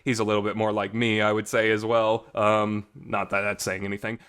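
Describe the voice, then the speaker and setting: A man's voice: fairly low pitch, little pitch movement, fairly quick, fairly bright tone, smooth. Enthusiastic man rambling through a fan review. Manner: enthusiastic, emphatic, informal. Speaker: host of a visual novel talk show. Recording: reverberant voice recording.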